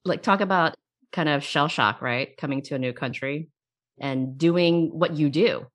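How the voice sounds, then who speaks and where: A woman's voice: low-pitched, measured pace. A woman hosting a business interview series, upbeat and friendly, who laughs easily. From immigrant, came at age eight. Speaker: interview host.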